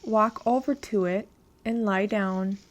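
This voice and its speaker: A woman narrating a guided meditation in a calm, measured, instructional way. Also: medium pitch.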